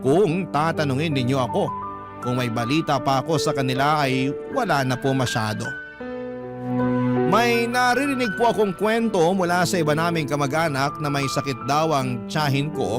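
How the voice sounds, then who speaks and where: A Filipino-accented male radio host with a fairly high voice narrates a personal letter. His delivery is dramatic storytelling, set over a clear instrumental music bed. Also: normal speed.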